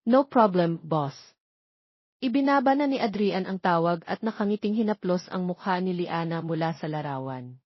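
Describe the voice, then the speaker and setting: A woman's voice: fairly low pitch, fairly quick, no echo, muffled recording. A woman with a Filipino accent reading a scripted romance story as its narrator.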